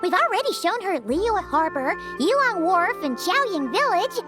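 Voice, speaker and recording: A woman voicing a tiny, excitable, childlike fairy companion in a video game, squeaky, exclamatory and inquisitive. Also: a little slow, full character voice. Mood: excited, cheerful. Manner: exclamatory, talks of self in third person. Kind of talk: animated video game voice acting. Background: background music.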